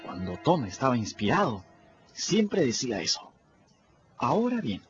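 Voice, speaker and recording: An actor with a Peruvian Spanish accent playing a quick-witted young boy who tells his own story in a folksy first-person manner in a radio drama. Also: medium pitch, huge pitch swings, soft background music. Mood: storytelling. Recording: dull lo-fi radio recording.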